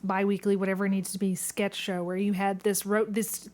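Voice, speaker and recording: A woman's voice: medium pitch, level tone, a little fast, darkish tone. A woman in her late thirties hosting a casual interview, chatting in a playful, enthusiastic way. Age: late 30s. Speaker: podcast host, theatre director.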